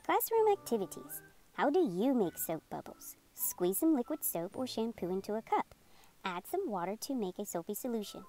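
A woman narrating a children's read-aloud in an expressive, deliberate storytelling manner. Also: on the high side. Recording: music behind the voice. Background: music.

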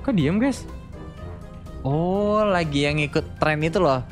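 A man's voice: fairly high pitch, takes it slowly, soft background music. A man with a colloquial Jakarta accent doing casual, joking, teasing gameplay commentary for viewers in slangy speech. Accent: Jakarta-style colloquial Indonesian. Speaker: gaming video creator commentating for viewers.

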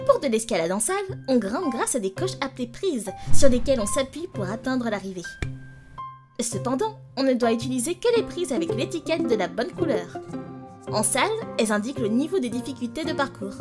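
A high, animated voice acted by a woman plays a kind older girl in an anime cartoon. She explains things in an encouraging, supportive manner, with music behind her. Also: brisk. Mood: helpful, instructive. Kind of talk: French anime fan dub.

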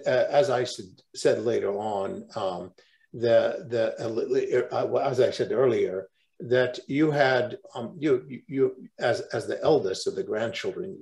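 A man's voice: fairly low pitch, gravelly, a little mellow. An older man moderating a formal online tribute, speaking formally as he frames questions on a video call. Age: 60s or 70s. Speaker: host, moderator, professor. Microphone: video call microphone.

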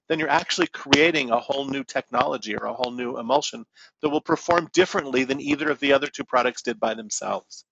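A man's voice: mid-range voice, vocal fry, fairly bright tone, breathy edge. A man presenting products in a salon-business webinar, talking conversationally and correcting himself as he explains. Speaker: company presenter. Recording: dull lo-fi webinar audio.

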